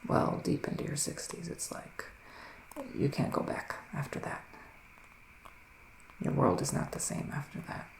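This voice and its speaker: Soft-spoken, creaky-voiced male ASMR witch. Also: fairly high pitch, animated, reverberant, a little hiss or hum, rough recording. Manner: slow, hesitant, musing, with murmured hums. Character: gentle, mystical male witch giving readings. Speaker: ASMR roleplayer as a psychic witch. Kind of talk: soft-spoken ASMR roleplay, partly whispered.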